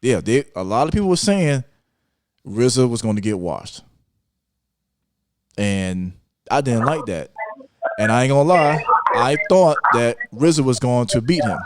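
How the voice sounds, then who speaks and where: A man's voice: medium pitch, animated, a little slow, pauses often. A man casually debating music as a podcast guest, insistent and repeating himself to press his point.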